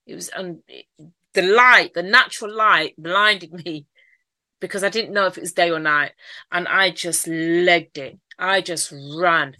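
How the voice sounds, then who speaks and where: A woman's voice: sits low, sharp tone, no echo. A woman with a Mancunian accent, telling her life story candidly in an interview, repeating phrases as she goes. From Manchester, England. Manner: candid storytelling, frequent repeats, occasional laughs. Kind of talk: personal life story in conversation.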